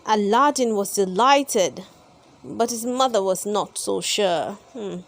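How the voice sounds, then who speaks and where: A woman reading a children's story aloud as host, with deliberate, dramatic emphasis. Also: mid-range voice, gravelly. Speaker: storybook reader and channel host. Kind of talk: expressive children's read-aloud narration.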